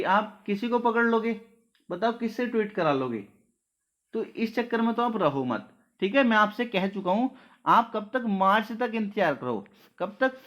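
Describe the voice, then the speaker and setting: Man, high-pitched, informal coaching talk, earnest, reassuring, frequent tag questions, dull lo-fi recording. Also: fairly bright tone. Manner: repeatedly checks in with short tag questions. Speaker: online exam-coaching teacher. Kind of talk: informal address to students. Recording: dull, lo-fi audio.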